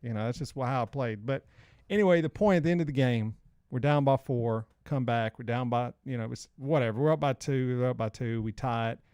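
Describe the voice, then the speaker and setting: A man's voice: medium pitch, fairly quick, a little mellow, clear, resonant tone, no room sound, studio quality. A man in his forties co-hosting a podcast with casual, slangy banter and rambling storytelling. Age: 40s. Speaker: podcast co-host.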